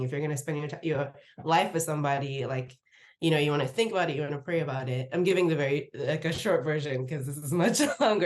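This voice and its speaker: Low-pitched woman from nigeria telling a long story on a podcast, chatty, laughs a lot, acts out quotes. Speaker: podcast guest, research professor. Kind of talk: casual interview storytelling.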